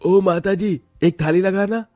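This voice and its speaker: A man's voice: high voice, fairly even intonation, unhurried, warm tone, dry recording, studio quality. Man playing a hearty chatty eatery customer. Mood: appreciative. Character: hungry, appreciative male roadside eatery customer. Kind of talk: animated cartoon story acting.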